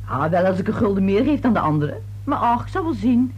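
A gossipy middle-aged neighbour in a radio drama. Her voice is very husky and swings wildly up and down. She confides and insinuates with great self-importance. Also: mid-range voice, very animated, a little fast, a little mellow, highs cut off. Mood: haughty, chatty. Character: gossipy, twice-married older neighbour woman, nosy and self-important. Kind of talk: Dutch radio play acting.

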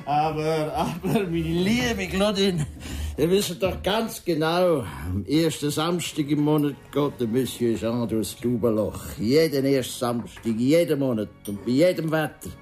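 An actor playing a genial, well-travelled café regular in a Swiss German radio drama, with a jovial, genteel and slightly flowery manner. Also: male voice, fairly high pitch, lively intonation, measured pace, darkish tone, soft background music. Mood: jovial, playful.

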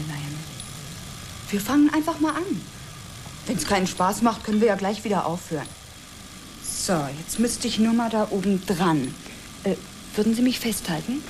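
An actress playing a forward, coaxing, excitable woman space traveller in a radio drama. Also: medium pitch, lightly acted. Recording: clearly noisy engine-like ambience behind.

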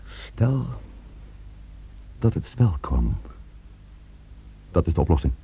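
A stern, authoritative man in a radio play, with a dark, mellow voice. His delivery swings widely in pitch as he gravely and emphatically takes charge. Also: very animated, slow, dull audio, slight background noise, full character voice. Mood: grave, determined. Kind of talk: radio drama acting.

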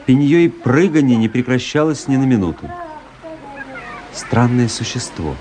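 An actor playing a self-assured, ironic young officer who tells his story in measured, literary fashion in a radio drama. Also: medium pitch, big pitch swings, background noise, lightly acted. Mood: fascinated, observing.

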